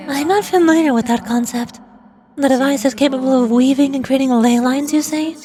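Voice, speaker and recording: An ancient, all-knowing fantasy ruler read aloud by a woman explains things formally and solemnly in a high voice. Faint music plays behind the game dialogue. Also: warm tone, lightly acted.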